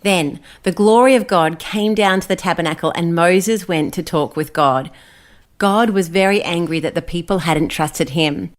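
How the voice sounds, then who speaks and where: A woman's voice: fairly low pitch, moderate pace. A woman with an Australian accent hosting and reading scripted stories for children, explaining things simply. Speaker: children's Bible story host.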